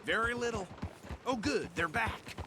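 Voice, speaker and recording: A man's voice: extremely high pitch, expressive, unhurried, noisy background. An actor playing a courteous, talkative small-town lawman with a Southern US accent in a western video game. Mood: genial, chatty. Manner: folksy, talkative, formal politeness. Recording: music behind the voice.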